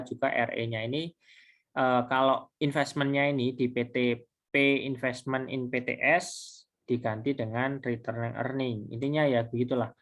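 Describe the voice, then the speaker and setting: A man giving an informal step-by-step tutorial as a lecturer, halting and repeating himself in a conversational way. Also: mid-range voice, highs cut off. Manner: halting, repetitive, conversational, with self-posed questions. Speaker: accounting lecturer.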